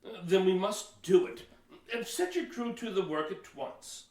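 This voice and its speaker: Guy as a solemn worried pilgrim elder, highish voice, stern and formal, echoey and off mic, radio drama. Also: a little slow, a little mellow. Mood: worried, resolute. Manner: formal, solemn, archaic speech. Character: earnest, anxious, stern Puritan elder, man of authority. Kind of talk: old-fashioned radio play acting. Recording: echoey, distant microphone, audio drama.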